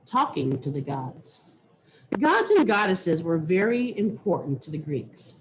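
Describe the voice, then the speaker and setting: A woman reading a children's nonfiction book aloud with deliberate, teacherly phrasing. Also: lowish voice, very animated, a little hiss or hum, rough recording. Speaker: reader.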